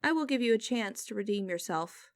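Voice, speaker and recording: A woman playing a cold, condescending female boss in an ASMR audio roleplay, speaking to the listener in a deliberate, commanding, mocking way. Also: mid-range voice, expressive, dry recording, close to an everyday voice. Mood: cold, controlled. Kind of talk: ASMR roleplay acting, direct address to listener.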